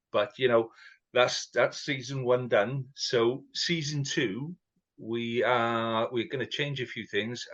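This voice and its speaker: A man's voice: medium pitch, a bit bright, muffled recording. A man hosting a podcast in a casual, chatty way, joking at his own expense. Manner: chatty, self-mocking, laughs between points. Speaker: podcast host.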